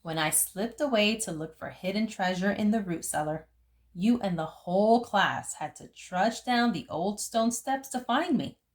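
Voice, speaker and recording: A woman reading a picture book aloud as a storyteller, phrasing it deliberately for young listeners. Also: medium pitch, expressive. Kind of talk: expressive picture book narration.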